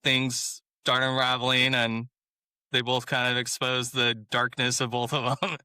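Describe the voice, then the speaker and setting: A man chatting as a guest in an interview, relaxed and prone to chuckling. His tone is very bright and forward. The recording is dry and close. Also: medium pitch, measured pace, smooth, no room sound. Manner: laid-back, chuckles often. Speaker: author guest. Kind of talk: casual interview chat.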